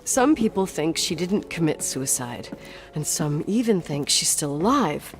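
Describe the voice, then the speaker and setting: A woman's voice: low-pitched, big pitch swings, a little slow. An actress playing a strong-willed, defiant young woman in a video game, with an intense, emphatic, sweary delivery. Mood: brooding, thinking aloud. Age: young adult. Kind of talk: naturalistic video game voice acting.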